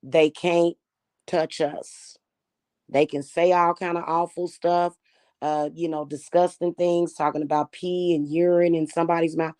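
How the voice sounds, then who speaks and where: A woman with a slightly hoarse voice, talking casually and defiantly to her viewers about her spiritual practice. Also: lowish voice, flat delivery, measured pace, warm tone. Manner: chatty, defiant, addresses viewers as y'all. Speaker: spiritual reader and channel host.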